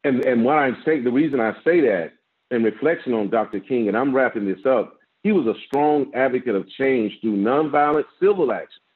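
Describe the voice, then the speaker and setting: A man in his fifties, a guest pastor, preaching a measured sermon with a strong voice from an office over a video call, rising with emphasis and repeating words as he builds. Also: medium pitch. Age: 50s. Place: office with framed certificates, indoors. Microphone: video call, laptop camera. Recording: tinny phone-like video-call audio.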